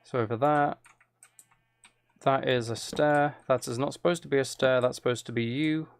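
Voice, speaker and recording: A man with noticeable fry in his mid-pitched voice talks casually through a game. He thinks aloud in loose fragments, correcting himself and chatting to viewers as he goes. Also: steady tone, normal speed. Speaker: streamer playing a building game.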